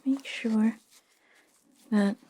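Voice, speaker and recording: A woman playing a friendly, reluctant modern witch in a soft-spoken, gently informal ASMR roleplay that slips into whispers. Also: fairly high pitch, steady tone, very slow, slightly rough, exaggerated voice, rough recording. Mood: soft, soothing.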